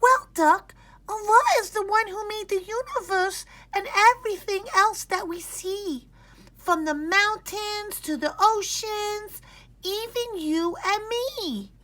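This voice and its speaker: A very high, squeaky little boy, played by a woman, curious and eager in a children's storybook. He speaks slowly and brightly, with lively swings of wide-eyed wonder. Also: heavily acted, poor audio. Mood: earnest, delighted.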